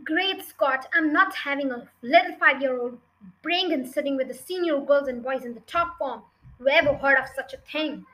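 A domineering headmistress, acted out by a woman reader for a children's storybook. She barks her scorn in a high, forceful voice and brushes aside anyone who argues. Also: normal speed, a little hiss or hum, rough recording. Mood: domineering, angry. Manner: barking, snorting, contemptuous, loud. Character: bullying, scornful adult headmistress, giant and bellowing.

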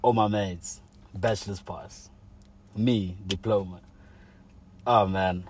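Low-voiced young man chatting casually. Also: slow, slight background noise. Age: young adult. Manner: relaxed slangy talk full of "like" and "you know". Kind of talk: casual chat, telling a personal story.

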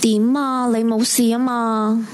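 A woman's voice: fairly high pitch, level tone, takes it slowly, background noise. A woman playing an anxious, loyal young female friend in a horror radio drama, worried and urgent, with music behind her. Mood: concerned.

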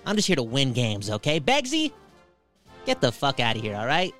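A man's voice: medium pitch, wildly swooping pitch, normal speed, a bit bright, faint music behind. A man hosting a casual sports talk show, emphatic and slangy, repeating phrases and swearing freely. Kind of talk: casual sports commentary chat.

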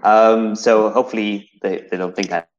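A lecturer from South Africa with a South African English accent, talking through slides in an academic seminar, hesitant with many fillers and restarts. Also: male voice, fairly low pitch, steady tone, normal speed, highs cut off.

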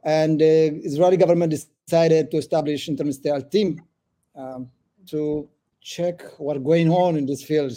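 A man in his forties from Ethiopia, speaking as a guest in a podcast interview, pausing often with "uh" between phrases. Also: on the high side, fairly even intonation, mellow. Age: 40s. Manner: halting, many "uh" fillers. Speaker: government official, guest.

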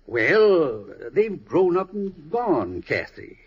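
An actor playing a kindly, wistful old country storekeeper with a husky, folksy, halting delivery in an old radio drama. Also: male voice, on the high side, very animated, highs cut off, heavily acted. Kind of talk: 1950s radio drama acting. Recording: old radio broadcast recording.

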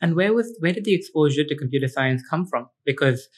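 A man from India hosting a podcast, asking quick, probing questions and encouraging his guest. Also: a little high, lively intonation, husky. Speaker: podcast host. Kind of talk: conversational interview.

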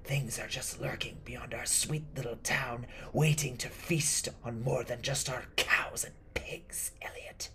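Man voicing a whispering, raspy, eerie old woman. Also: mid-range voice, steady tone, pushed and bright, pitched above the performer's own voice. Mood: eerie, foreboding. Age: older. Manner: slow, ominous, breathy. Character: frail, eerie old village woman, haunted and grim.

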